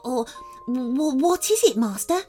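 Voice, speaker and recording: A woman's voice: sits high, big pitch swings, unhurried, warm tone, voice pushed up. A woman voicing a feeble, eager male zombie assistant who stammers and fawns in a children's storybook tale. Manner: stammering, servile, pleading. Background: music.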